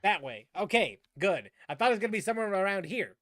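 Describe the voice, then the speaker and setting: A man's voice: high voice, sing-song, fast, a bit bright. A man giving casual gameplay commentary as a streamer, with wry, sarcastic asides. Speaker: gaming streamer. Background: game music.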